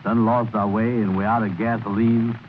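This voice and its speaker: A man's voice: on the low side, little pitch movement, normal speed, lightly acted. An actor playing a grumbling, blustery man with folksy, ungrammatical dialect, in 1930s radio comedy style. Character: grumbling, blustery cab rider, wary of spending money. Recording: crackly 1930s radio broadcast, narrow band.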